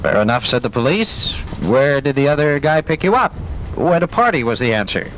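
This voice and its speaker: A man works as a 1970s radio newsreader, telling his items with a lively, swinging pitch. The old broadcast recording carries faint noise. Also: brisk, rough recording. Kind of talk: 1970s radio news storytelling and announcing.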